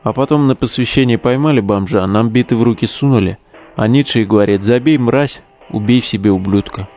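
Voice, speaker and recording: An actor playing a hot-tempered, crude young neo-Nazi relic digger in an audio drama, brash, slangy and sneering. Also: male voice, medium pitch, a little fast, a little mellow, lightly acted. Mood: sullen, bragging.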